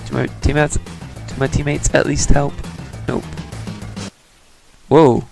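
A man's voice: on the high side, little pitch movement, takes it slowly, vocal fry. A man giving casual, sarcastic commentary while playing a video game, muttering to himself. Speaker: let's player. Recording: game music underneath. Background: game music.

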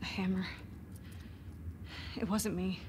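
A woman's voice: medium pitch, little pitch movement, slow, a little mellow, a little hiss or hum, natural voice. An actress playing a determined young woman soldier, terse and urgent, in a video game.

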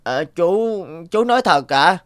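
A woman voicing an eager, polite young schoolboy in an animated cartoon. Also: lowish voice, unhurried, no room sound, clean studio sound. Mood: shy, polite.

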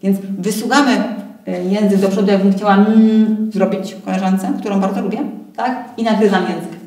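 A woman's voice: mid-range voice, steady tone, normal speed, dark tone. Woman instructor in a roomy, distant recording. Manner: encouraging, playful, frequent checking tag questions. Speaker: voice warm-up instructor. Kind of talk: guided exercise instruction, conversational. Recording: roomy echo, distant camera microphone.

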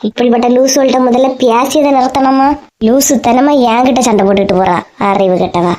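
A woman's voice: on the high side, fairly quick, darkish tone, lightly acted. A woman voicing a blunt, gossipy, easily irritated neighbour in a comic cartoon, with a Kanyakumari Tamil accent.

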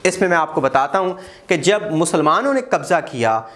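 A man gives an exam-prep history lecture. He gestures a lot, repeats key dates and often pauses with "uh". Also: on the high side. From Pakistan. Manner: animated, gestures widely, repeats key dates, frequent "uh" fillers. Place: small room in front of a whiteboard. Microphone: lapel mic.

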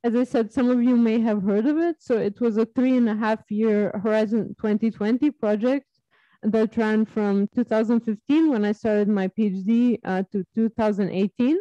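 A woman in her thirties from Serbia, giving an academic webinar talk on her research, measured and full of ums and uhs. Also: on the high side, steady tone, warm tone, dry recording. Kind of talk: academic presentation. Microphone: video call. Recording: dull lo-fi video call audio.

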